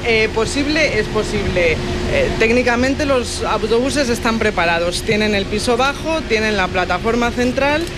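A woman's voice: on the high side, expressive, moderate pace. A Spanish woman, a mother, explaining matter-of-factly into a reporter's mic on a city bus. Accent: Spanish from Spain. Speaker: campaigning mother. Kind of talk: interview answers. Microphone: reporter's handheld mic. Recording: noisy bus interior.

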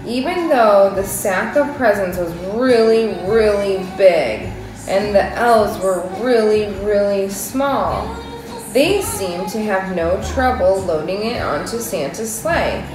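Mom reading a picture book aloud, lively pitch, unhurried, very echoey room with music. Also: female voice. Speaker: mother reading to her daughter. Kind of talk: expressive bedtime-story reading. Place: living room sofa, indoors. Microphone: camera a few feet away.

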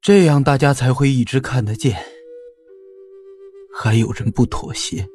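A man's voice: mid-range voice, a little slow, darkish tone, close to an everyday voice. Guy playing weakened ancient scholar, dignified and firm, solemn period audio drama, music under it. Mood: solemn resolve. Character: gaunt, dignified scholar man, weakened but steadfast.

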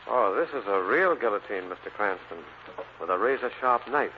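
Low-voiced courtly theater man actor. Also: animated, normal speed, slight background noise, lightly acted. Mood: reassuring, courtly. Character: smooth, reassuring, flattering theater man. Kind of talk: 1940s radio acting. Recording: muffled old radio recording.

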